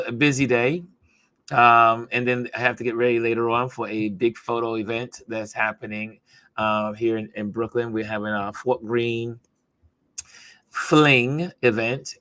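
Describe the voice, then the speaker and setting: Hoarse, bright-voiced man hosting. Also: medium pitch, no echo. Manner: chatty, greets viewers by name. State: hoarse, voice going from talking. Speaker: talk show host. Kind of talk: casual livestream chat.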